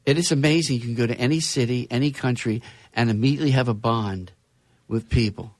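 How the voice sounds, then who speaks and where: A chatty man hosting a relaxed conversation, his voice sitting at an ordinary middle pitch. The sound is close and dry, with no room around it. He reads out news items and adds wry comments. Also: brisk, no echo. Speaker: podcast host. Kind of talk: casual chat.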